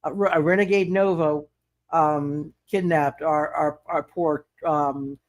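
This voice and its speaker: A man with a fairly high voice hosts a casual roleplay stream as game master. He speaks haltingly, doubling back over his words. The sound is close and fairly dry. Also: fairly even intonation, no room sound. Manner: halting, repeats words and restarts often. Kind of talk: casual livestream chat and recap.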